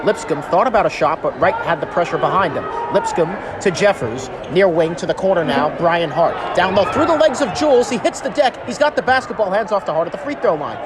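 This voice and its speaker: A man doing live radio play-by-play of basketball, with excitable, drawn-out calls on big shots. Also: high voice. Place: indoor college basketball arena. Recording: loud arena noise and crowd behind.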